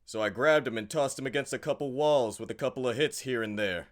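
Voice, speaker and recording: A man playing a blunt, tough fighter who knows his guns, with a gruff, clipped delivery. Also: mid-range voice, fairly quick, dry recording, clean studio sound, close to an everyday voice. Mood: fierce.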